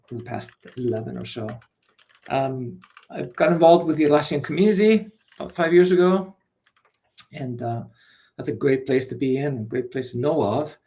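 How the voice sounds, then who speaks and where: Guy in his 40s from iceland, guest on a video call, laid back, lots of ums. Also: mid-range voice. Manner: relaxed, hesitant, many fillers. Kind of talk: casual interview.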